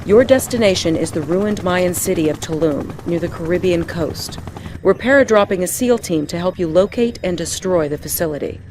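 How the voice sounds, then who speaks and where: An actress playing an earnest, loyal intelligence officer, giving composed, clipped briefings in a video game. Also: female voice, lowish voice, moderate pace, a little mellow, slight background noise, close to an everyday voice. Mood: businesslike.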